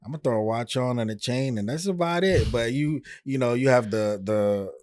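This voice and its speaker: A man's voice: medium pitch, moderate pace, darkish tone, no echo, clean studio sound. A man hosting a podcast interview, asking questions and teasing his guest playfully. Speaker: podcast host. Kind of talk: casual interview chat.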